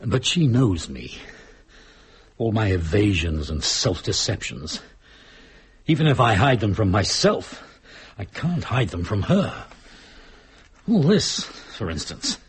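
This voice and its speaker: Very husky, troubled Englishman. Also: lowish voice, big pitch swings, slow, long pauses, exaggerated voice. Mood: troubled, guilty. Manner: earnest, intense. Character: earnest, guilt-ridden successful English man, defensive and troubled. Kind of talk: British radio drama acting.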